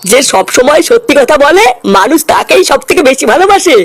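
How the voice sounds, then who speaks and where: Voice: sits high, very animated, brisk, dry recording. A performer voicing a bossy, preachy old village uncle in an exaggerated comedy cartoon, pompous and scolding.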